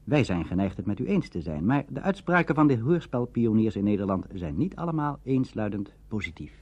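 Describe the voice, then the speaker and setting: Male documentary narrator, scripted and animated in intonation, on a muffled archival radio tape. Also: lowish voice, fairly quick, husky. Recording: dull archival radio recording.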